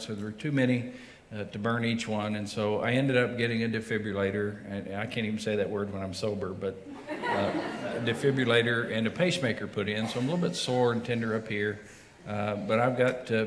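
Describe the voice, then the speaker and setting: A man who is a pastor, chatting casually about his recent heart surgery, joking and rambling with many restarts and filler words. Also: lowish voice. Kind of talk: casual chat. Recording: echoey room with faint noise.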